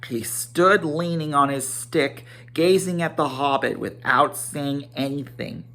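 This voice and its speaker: A man narrating a fantasy tale as a dramatic amateur reading, halting now and then to repeat or correct a phrase. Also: on the high side, bright, forceful tone. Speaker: amateur storybook reader.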